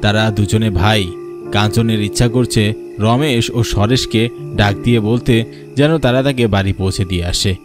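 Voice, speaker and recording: A man narrating a scripted horror cartoon story. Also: lowish voice. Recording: faint background music. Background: faint music.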